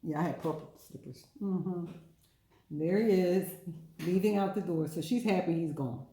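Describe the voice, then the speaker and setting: A woman's voice: fairly low pitch, moderate pace, dark tone, reverberant, a little hiss or hum, low-quality recording. A woman hosting storytime for young children, playful and chatty, putting questions to her listeners as she goes.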